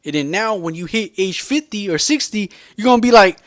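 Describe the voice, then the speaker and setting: A vlogger speaks with a fairly high-pitched voice as he rants at the camera. His delivery is rambling and emphatic, circling back on the same points and peppered with curses. The sound is dry, with almost no room echo. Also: expressive, fairly quick. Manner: rambling, repetitive, profane and emphatic. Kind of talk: unscripted rant to camera.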